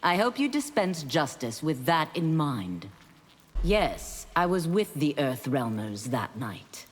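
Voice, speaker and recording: An actress playing an earnest demon seeking redemption in a fighting game, solemn and formal in a reverberant space. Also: female voice, low-pitched, sing-song, slow pace, husky, full character voice. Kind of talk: scripted fighting game dialogue.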